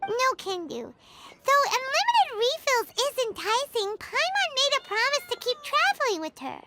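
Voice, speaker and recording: Squeaky, excitable little fairy. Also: female voice, normal speed, slight background noise, exaggerated voice. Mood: flustered, chatty. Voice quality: squeaky, childlike. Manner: chatty, exclamatory, cutesy. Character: small excitable chirpy female fairy companion, childlike, speaks in third person. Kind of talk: video game cutscene acting. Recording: music behind the voice.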